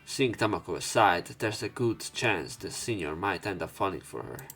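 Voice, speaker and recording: A man with a fairly low voice narrates a visual novel as a let's player. He reads dialogue expressively and comments in a casual, blunt way. Soft game music plays behind him. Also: moderate pace, slightly rough. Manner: sweary reactions, expressive dialogue reading.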